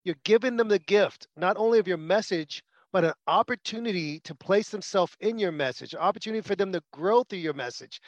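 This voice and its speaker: A man's voice: high-pitched, dry recording, dull audio. A man hosting a podcast interview, talking with enthusiasm and strong emphasis. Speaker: podcast host.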